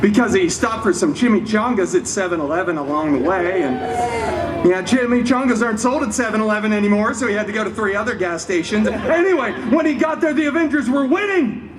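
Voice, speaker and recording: A man's voice: sits high, expressive, a little fast. A man playing a cheeky, wisecracking costumed superhero, telling a mock fairy tale live with sarcastic, theatrical asides. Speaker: costumed theme park character performer telling a story. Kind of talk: live comic storytelling to an audience. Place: theme park show before an audience. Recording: live crowd, noisy, distant mic.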